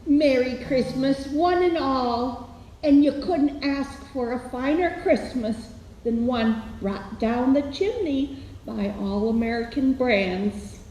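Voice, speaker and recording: Woman playing a slow, syrupy housewife mom. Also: high-pitched, animated, warm tone. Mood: sweet, festive. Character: sweet doting 1950s housewife mother. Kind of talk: vintage radio commercial acting. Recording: echoey hall, faint noise.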